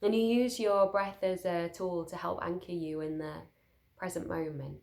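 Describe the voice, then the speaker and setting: A woman leading a guided sleep meditation, speaking soothingly and gently in short, unhurried phrases with pauses. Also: lowish voice, steady tone.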